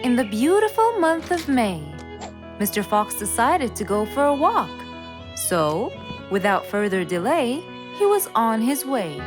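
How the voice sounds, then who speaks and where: A woman narrates a children's story in an expressive storytelling style. Her voice is on the high side with lively rises and falls. Music plays clearly behind her. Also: a little slow.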